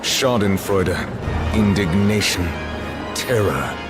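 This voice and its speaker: Solemn game judge narration, deep-ish and deliberate, brooding, loud music under it. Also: male voice, expressive. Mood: grave, brooding. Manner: grave, formal, deliberate. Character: solemn, brooding male chief judge of a fantasy court. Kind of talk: dramatic English game trailer narration.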